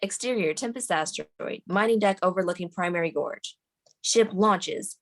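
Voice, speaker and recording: A woman's voice: mid-range voice, normal speed. A woman reading scripted stage directions aloud as the narrator of a screenplay table read.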